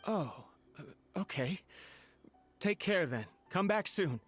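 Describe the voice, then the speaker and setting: Soft-spoken loyal manservant. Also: male voice, high voice, expressive, slow pace, breathy edge, soft background music, heavily acted. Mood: gentle. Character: loyal male servant, gentle and deferential. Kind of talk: game cutscene dialogue.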